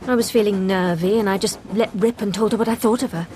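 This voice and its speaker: An actress playing a chatty, cheeky, independent young secretary with a British accent in a radio drama. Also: female voice, mid-range voice, steady tone, fast, mellow, slight background noise, natural voice. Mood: chatty, frank. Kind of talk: casual dialogue in radio drama.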